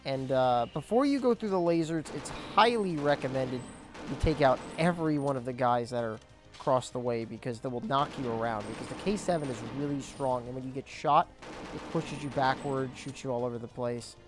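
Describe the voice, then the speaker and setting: Young guy narrating a game playthrough, voice cracks here and there, faint game music behind. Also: fairly quick. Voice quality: voice cracks and squeaks at times. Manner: chatty, explains objectives as he plays. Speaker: gameplay commentator. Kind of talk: casual let's play commentary.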